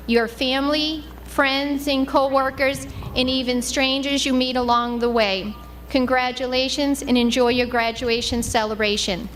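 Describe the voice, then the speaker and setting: A woman with a high-pitched voice. She speaks as a school superintendent, reading a formal prepared address. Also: a bit bright.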